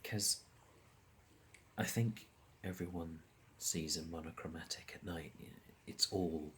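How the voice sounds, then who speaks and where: Deep, slow, haunted young male lead for a film drama, halting and brooding, played by a man; faint background noise. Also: steady tone, fairly bright tone. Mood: bleak, haunted. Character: anguished young man losing his colour vision. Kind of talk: short film drama acting, introspective monologue.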